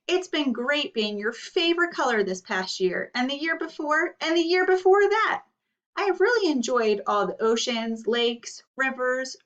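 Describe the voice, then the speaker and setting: A woman's voice: high voice, a bit bright, far from the mic, lo-fi sound. A woman voicing a stubby, worn-out crayon in a storybook, friendly and politely pleading.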